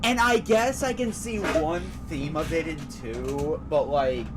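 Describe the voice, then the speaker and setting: A man's voice: a little high, measured pace, background noise. A man giving casual, opinionated, rambling commentary on a live stream while he plays a video game.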